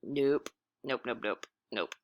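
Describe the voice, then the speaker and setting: A woman reading a picture book aloud in a playful, amused and animated way, with casual asides to her listeners. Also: very deep voice, slow, dry recording, clean studio sound. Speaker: read-aloud storyteller.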